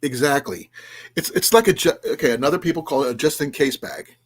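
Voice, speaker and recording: The guy who runs a small outdoors livestream on a weeknight, a little raspy, wandering from point to point and stopping to answer whoever is in the chat. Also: on the high side. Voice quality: slightly raspy voice. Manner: rambling, halting delivery with restarts and trailing asides. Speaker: livestream host of an outdoors and preparedness channel. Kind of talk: casual livestream chat, reading and answering viewers' comments.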